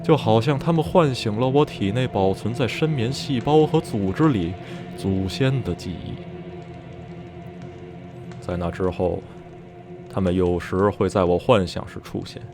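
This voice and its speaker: Haunted storyteller, male actor, low register, brooding and measured with feverish intensity, horror radio drama over music. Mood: haunted, reflective. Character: male narrator recounting eerie memories, obsessed and haunted. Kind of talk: dramatic radio play narration.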